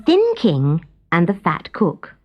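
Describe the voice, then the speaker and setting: A woman narrating a scripted children's story as an expressive storyteller. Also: on the low side, slow pace, highs cut off. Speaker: story reader.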